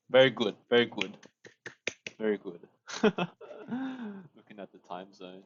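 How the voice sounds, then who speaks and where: Young male streamer, casual coding chat, mid-pitched, slow, lo-fi audio. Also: bright, forceful tone. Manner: thinks aloud, restarts phrases, chuckles often.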